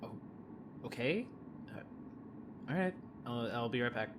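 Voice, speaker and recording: A man's voice: medium pitch, expressive, unhurried, lightly acted. An actor playing an awkward, easygoing young man, hesitant and rambling, in an audio drama. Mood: sheepish. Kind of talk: naturalistic audio drama acting. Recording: clear ambient noise.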